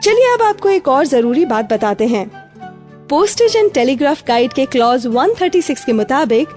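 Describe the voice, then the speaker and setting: A woman's voice: high voice, darkish tone. A woman hosting a radio show, reading a script in a conversational way that addresses listeners directly.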